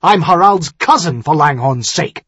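A man's voice: a little high, animated, a little slow, breathy edge, no room sound, voice pushed up. Man acting a proud, indignant younger nobleman, slightly muffled. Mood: hotly indignant. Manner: sharp, demanding, harsh. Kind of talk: audiobook character dialogue.

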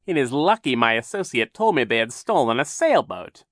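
Slow, scheming sea-captain villain, pitched high. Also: male voice, very animated, fairly bright tone, no room sound, clean studio sound. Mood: oily false concern. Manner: falsely soothing, sneering, drawn-out delivery. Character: menacing male sea captain, a scheming fake-sweet villain.

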